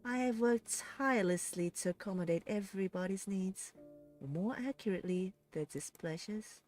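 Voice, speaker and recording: A woman voicing a mysterious woman villain with an obsessive, flippant and coldly teasing way of talking, raised somewhat above her own pitch. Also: normal speed, darkish tone, soft background music, natural voice. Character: menacing, mocking woman villain speaking over a speaker.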